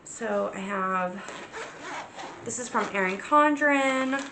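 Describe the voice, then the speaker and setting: A woman hosting a casual crafting vlog, stuffy and sniffly from a cold, talking in an unhurried way with plenty of ums and restarts. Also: fairly high pitch, echoey room, background noise. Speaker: crafting channel host. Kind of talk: casual show-and-tell vlog.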